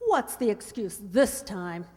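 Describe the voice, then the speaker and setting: A woman's voice: medium pitch, lively intonation, takes it slowly, a little mellow. A woman acting a demanding, impatient secret mistress in a radio play, curt and insistent. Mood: curt, sceptical. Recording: reverberant hall.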